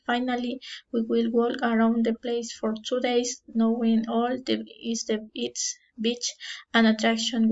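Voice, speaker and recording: A young Ecuadorian woman with a Spanish accent, a native Spanish speaker, reading her class presentation in a halting way with restarts and self-corrections. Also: fairly high pitch, darkish tone. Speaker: student. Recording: dull lo-fi recording.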